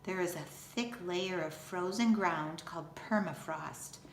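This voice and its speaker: A woman in her fifties, a teacher reading a children's picture book aloud in an expressive, deliberate way. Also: on the low side. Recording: roomy echo, faint background noise.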